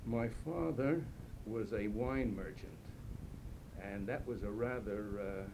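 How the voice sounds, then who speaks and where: An older man from Ukraine, recounting his early life in a slow, reflective oral history interview with frequent pauses. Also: lowish voice, gravelly, background noise.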